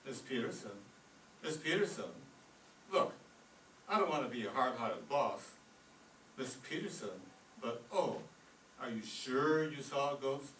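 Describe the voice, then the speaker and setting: A man's voice: medium pitch, expressive, a little slow, long pauses, fairly bright tone. A man playing a scornful, wisecracking, blustery office boss in an old-time radio comedy. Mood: exasperated. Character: exasperated, sarcastic male law-office boss. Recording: roomy, distant stage recording with faint noise.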